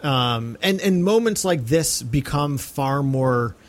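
A man's voice: mid-range voice, animated, slow pace. A male podcast host talking casually and earnestly about film, with an enthusiastic manner.

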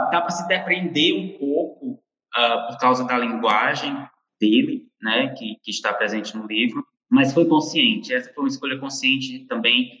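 A man answers interview questions about his writing, pausing and restarting his phrases often. His voice sits at a middle pitch. It rings through an echoey room with a long reverb tail. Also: sharp tone, muffled recording. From interior of Ceará, Brazil. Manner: halting, many restarts and "né". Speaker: novelist guest. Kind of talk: casual panel interview.

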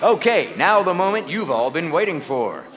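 Bright, swoopy showman announcer, hypes the crowd, audio drama. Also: big pitch swings, moderate pace. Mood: showy. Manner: booming showman delivery. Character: showy male radio announcer. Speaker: radio show host and emcee.

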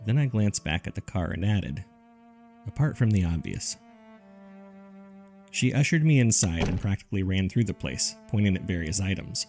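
A man with a low, gravelly voice narrates an eerie first-person tale. His delivery is measured and dryly conversational, like someone reading out a journal. Music plays clearly beneath him. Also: lively intonation, darkish tone. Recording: music under the voice. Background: background music.

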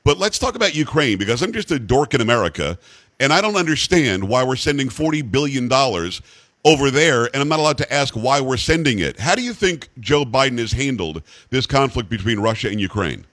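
A man hosting a political talk radio interview, who asks blunt, folksy questions in quick succession. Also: medium pitch, very animated, a bit bright, no echo. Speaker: radio talk show host.

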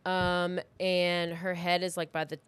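A woman's voice: lowish voice, level tone, measured pace, smooth, no echo. A woman hosting a true crime podcast, telling the story in a casual, conversational way with informal, blunt asides, close to the microphone.

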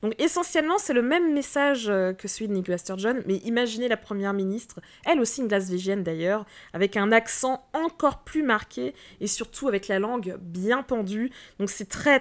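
A woman co-hosting a podcast in casual chat, hesitant and filling pauses often. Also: medium pitch. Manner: hesitant, many "euh" fillers. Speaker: podcast co-host.

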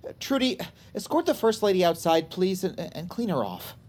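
An actress playing a brisk, alarmed doctor taking command in an audio drama. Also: female voice, on the low side, big pitch swings, a little mellow.

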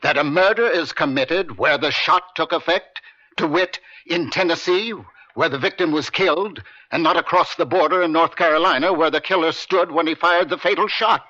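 An actor playing an eloquent, combative defense attorney in an old radio drama, speaking in formal rhetorical style. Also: male voice, fairly high pitch, moderate pace, pushed and bright. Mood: formal, insistent. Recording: dull lo-fi vintage radio.

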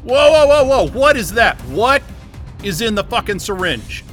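An actor playing a panicked, defiant hothead in an audio drama, agitated and swearing. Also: male voice, high-pitched, big pitch swings, measured pace, fairly bright tone, soft background music, exaggerated voice. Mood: panicked, angry. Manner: agitated, sweary, repeating words. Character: panicked, defiant, hot-headed man trapped in a facility.

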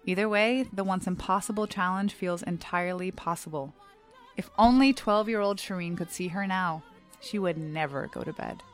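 A young adult woman, a stage actor, reading a scripted personal essay aloud in a measured, earnest, clearly enunciated way. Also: lowish voice, faint music behind.

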